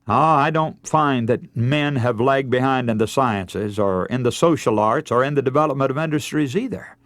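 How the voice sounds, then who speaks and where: A man's voice: mid-range voice, no room sound. A middle-aged man preaching a radio sermon in an earnest, emphatic, oratorical manner. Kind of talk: radio sermon with scripture readings.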